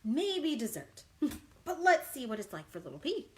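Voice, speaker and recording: A woman children's librarian reading a picture book aloud in an exaggerated, animated way and talking directly to young listeners. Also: high voice, moderate pace. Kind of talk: children's read-aloud storytime. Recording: noisy livestream recording.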